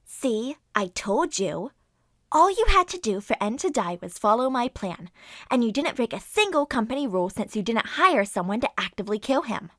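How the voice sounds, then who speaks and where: A woman's voice: fairly high pitch, big pitch swings, normal speed, a bit bright, no room sound, studio quality. A woman voicing a cold, smug, businesslike female robot villain in a fan-made audio roleplay, clipped and superior.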